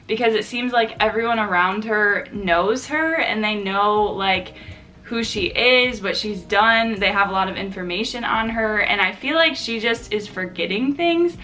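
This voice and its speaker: A woman's voice: mid-range voice, a little slow, bright, forceful tone. A woman in her mid-twenties chatting casually to camera about the books she is reading, with plenty of filler words. Her throat is sore and her nose stuffy from allergies. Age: 20s. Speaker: book vlogger.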